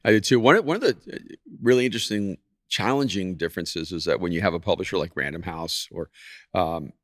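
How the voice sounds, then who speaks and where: Man in his sixties, low and measured. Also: no room sound, clean studio sound. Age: 60s. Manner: measured, reflective, laughs easily. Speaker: author and podcast guest. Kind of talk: casual podcast chat.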